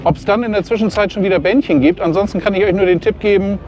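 A man's voice: high voice, quick pace, a little mellow, lo-fi sound. A man from Germany chatting casually to his viewers as he walks around a busy outdoor racetrack event, often ending his sentences with a questioning "ne?". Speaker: vlogger. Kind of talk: casual walk-and-talk vlog. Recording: outdoor noise and crowd.

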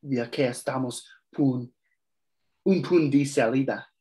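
A man's voice: mid-range voice, unhurried, mellow, lightly acted. Young man acting a menacing Venezuelan gunman. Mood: taunting. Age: young adult. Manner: taunting, slips into Spanish. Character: menacing, vengeful Venezuelan male gunman, taunting and possessive. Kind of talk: play reading over video call. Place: room at home. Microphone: computer mic on video call.

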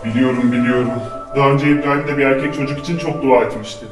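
Guy doing a quick, wry fairy with big reverb, kinda far off, music under. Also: medium pitch, fairly even intonation. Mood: teasing. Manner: dry and teasing. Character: wry fairy godfather in a church. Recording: echoey, from the mic, music behind.

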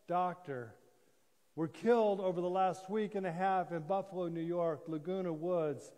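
A man in his sixties preaching a sermon as a pastor, solemn and deliberate, with pauses and repetition. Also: high-pitched, warm tone. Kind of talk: sermon, measured oratory. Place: church sanctuary, indoors at a lectern. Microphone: gooseneck lectern microphone. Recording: reverberant church hall sound.

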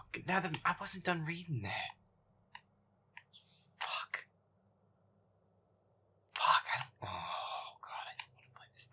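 Man acting a grumbling, breathy feisty boyfriend. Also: mid-range voice, pushed and bright. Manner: grumbling, profane, exasperated, often muttering under breath. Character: feisty foul-mouthed werewolf boyfriend grudgingly playing scary game. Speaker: audio roleplay voice actor. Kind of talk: improvised-sounding gameplay audio roleplay.

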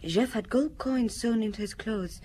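A woman playing a sad, quiet young refugee widow in a radio drama, speaking in a halting, reflective way. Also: on the high side, a little hiss or hum, lightly acted. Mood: sad, remembering.

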